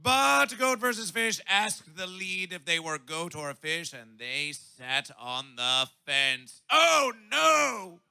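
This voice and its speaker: Man festival MC, bellowing and absurd, slow delivery with a harsh, gravelly edge. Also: high voice, very animated, sharp tone. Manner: bombastic, absurd wordplay, bellowing. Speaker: festival emcee. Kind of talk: shouted comic stage introductions.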